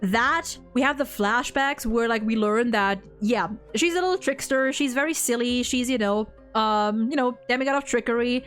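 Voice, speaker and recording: A woman in a casual, excited fan discussion, effusive and slangy, rambling with lots of repeated "likes". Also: fairly high pitch, normal speed, hardly any pauses, soft background music. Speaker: video host discussing a game.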